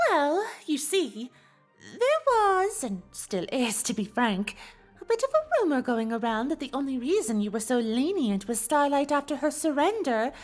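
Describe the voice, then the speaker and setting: A woman playing a refined, teasing, gossipy female pony with theatrical, genteel phrasing in a cartoon fan audio drama. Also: high-pitched. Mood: delicate, hesitant.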